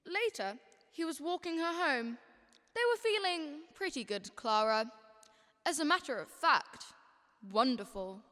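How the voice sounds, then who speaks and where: Woman playing heavenly storyteller boss, high bright voice, measured, expressive, long reverb, radio drama. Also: full character voice. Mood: storytelling. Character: unhurried heavenly boss recounting a man's life. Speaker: student actor.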